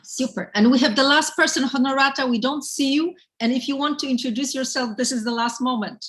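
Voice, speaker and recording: A woman's voice: high voice, fairly quick, fairly bright tone. A woman professor hosting a live online session, upbeat and encouraging, explaining ideas step by step over a video call. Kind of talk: lecturing and moderating introductions.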